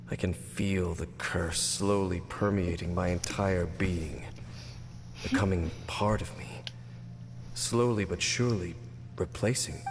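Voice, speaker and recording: An actor playing a stoic, world-weary cursed immortal warrior in a video game, speaking gravely and formally in measured phrases. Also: male voice, sits low. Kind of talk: video game voice acting, dramatic dialogue. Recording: reverberant, with game music behind.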